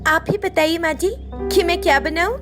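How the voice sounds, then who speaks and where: A woman's voice: very high voice, steady tone, moderate pace, over music, voice pushed up. A woman voicing a vain young daughter-in-law in a storybook tale, sweetly coaxing, deferential and evasive. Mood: sweet, eager. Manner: deferential, placating. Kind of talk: acted dialogue in a Hindi moral story.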